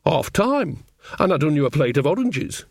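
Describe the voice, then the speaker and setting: A brisk, earthy old woman witch, played by a male storyteller. She fusses and scolds in a motherly, colloquial way, lifting above the narration in a dry storybook recording. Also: on the high side, sing-song, creaky, a little mellow, no echo, heavily acted. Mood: scolding.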